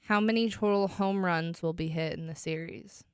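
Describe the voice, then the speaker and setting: An American woman co-hosts a casual sports talk show, chatty and quick as she fires off questions. She is a little sick and losing her voice, yet her tone comes through very clean and smooth. The studio sound is dry and close. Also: on the low side, fairly even intonation, no echo. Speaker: co-host.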